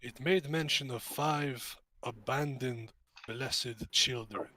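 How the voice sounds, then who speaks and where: A man playing a suspicious, formal-spoken adventurer in a tabletop game, measured and haughty. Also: medium pitch, pushed and bright. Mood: grave suspicion. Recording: background noise with typing.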